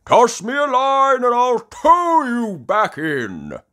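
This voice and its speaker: A man voicing a jolly, boisterous old grandfather rabbit in a children's cartoon storybook, with hearty drawn-out exclamations. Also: wildly swooping pitch, sharp tone, full character voice. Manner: hearty, booming, drawn-out exclamations, breaks into song.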